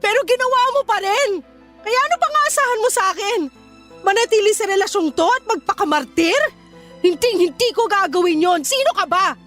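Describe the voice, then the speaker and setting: A woman's voice: extremely high pitch, lively intonation, a little fast, a bit bright, soft background music. An actress with a Filipino accent playing a betrayed, furious young woman in a radio drama, scolding with intense anguish. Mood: anguished, angry. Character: betrayed, furious, heartbroken young woman leaving her cheating boyfriend.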